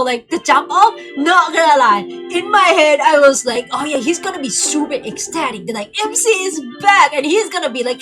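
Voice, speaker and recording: Chatty young woman streamer, lively pitch. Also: rough recording. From Thailand. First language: not English. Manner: rambling, filler-heavy, frequent restarts. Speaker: game streamer. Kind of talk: casual livestream commentary.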